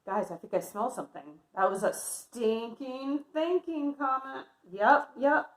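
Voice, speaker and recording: Female read-aloud teacher for young children: encouraging, questioning, unhurried, roomy sound. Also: high voice. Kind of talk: read-aloud lesson with asides to young pupils. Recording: roomy, echoey indoor sound.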